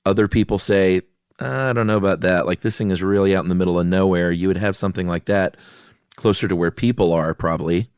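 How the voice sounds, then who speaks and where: A man's voice: low-pitched, steady tone, quick pace, a little mellow, smooth, no echo, studio quality. A man co-hosting a podcast, talking through ideas in a casual, easygoing, informal way. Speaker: podcast co-host. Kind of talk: casual conversational explaining.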